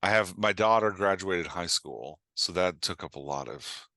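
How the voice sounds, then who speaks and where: Middle-aged male podcast guest, low voice with forward brightness, loose, jokey, rambling chat. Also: lively intonation, normal speed, no echo. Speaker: podcast guest, author and writing coach. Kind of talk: casual chat.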